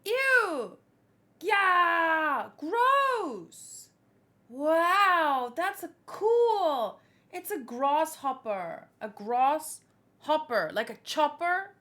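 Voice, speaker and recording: Bright, swooping, high-voiced woman reacting excitedly. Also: extremely slow. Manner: animated, exclaiming, playful. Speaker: host reading a manga chapter aloud. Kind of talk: excited read-along reaction with acted dialogue.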